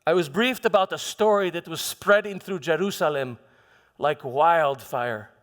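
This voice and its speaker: A man's voice: on the high side, fairly bright tone. A man playing a troubled Roman governor in a theatrical monologue, stammering and defensive as he justifies himself. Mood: uneasy recounting. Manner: halting, stammering, self-justifying, emphatic. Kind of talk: theatrical church monologue, first-person dramatization. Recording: long reverberant echo of a hall.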